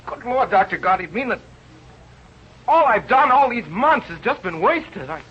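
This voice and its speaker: An actor playing an earnest, driven young research scientist, with intense delivery in an old radio drama. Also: male voice, high-pitched, big pitch swings, moderate pace, husky, full character voice. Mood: distraught. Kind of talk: 1930s-40s radio drama acting and first-person narration. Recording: muffled old radio recording with music behind.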